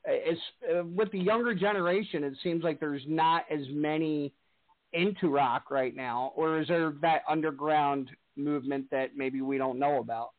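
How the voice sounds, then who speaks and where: A man's voice: fairly high pitch, moderate pace. Man hosting over a tinny line. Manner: rambling, restarts questions. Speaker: interview host. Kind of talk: casual interview. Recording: tinny phone-like sound.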